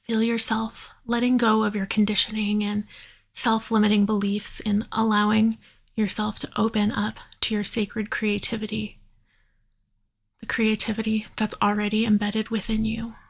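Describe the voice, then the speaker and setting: A woman leading a guided yoga nidra meditation in a soothing, unhurried manner, leaving long pauses. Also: mid-range voice, completely flat delivery, vocal fry.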